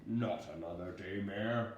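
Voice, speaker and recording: Man as a low, weary daddy monster. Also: lo-fi sound, lightly acted. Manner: soft growling, soothing, drawn-out. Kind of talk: storybook read-aloud character voice. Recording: very echoey room, distant mic, faint noise.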